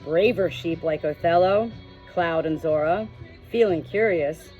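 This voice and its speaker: Lower-voiced woman narrating over music. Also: unhurried, a little mellow. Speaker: audiobook reader. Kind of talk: scripted story narration. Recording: muffled, with music behind.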